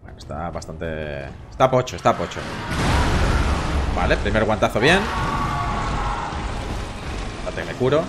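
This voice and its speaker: A man's voice: deep voice, sing-song, measured pace, long pauses, loud music behind the voice. A man in his thirties from Barcelona with a Spanish accent, chatting casually and swearing while streaming a game from a room at home. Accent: Castilian Spanish from Barcelona. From Catalonia, Spain. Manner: chatty, swearing, joking with chat. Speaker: gaming streamer. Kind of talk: casual live gameplay commentary.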